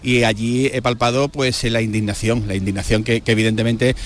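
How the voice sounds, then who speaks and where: A man's voice: medium pitch, little pitch movement, a little fast, a little hiss or hum. A man reporting live for radio from an outdoor square full of fans, chatty, with many filler sounds and restarts. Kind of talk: live on-location sports report.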